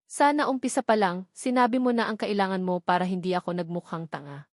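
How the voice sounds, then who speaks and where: A woman's voice: mid-range voice, quick pace, no echo, clean studio sound, close to an everyday voice. A woman voicing a cruel, angry, betrayed man in an audiobook, harsh and accusing, with a Filipino accent. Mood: furious, accusing. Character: furious, cruel, betrayed man, domineering former groom.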